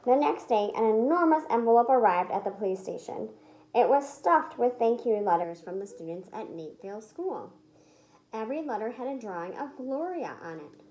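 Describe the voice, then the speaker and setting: Animated woman reading a children's story. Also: fairly high pitch, normal speed, poor audio. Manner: animated, emphatic, acts out the dialogue. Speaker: read-aloud storyteller. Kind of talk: expressive children's picture-book read-aloud.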